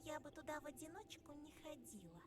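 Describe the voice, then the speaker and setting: A woman's voice: sits high, expressive, fast. A woman voicing a playful, sly fox girl in a game, teasing and bouncy. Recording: game audio with music behind.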